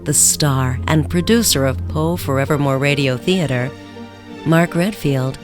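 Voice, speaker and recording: A woman's voice: low-pitched, a little slow. A woman announcer reading a scripted introduction for a radio theater show. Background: music.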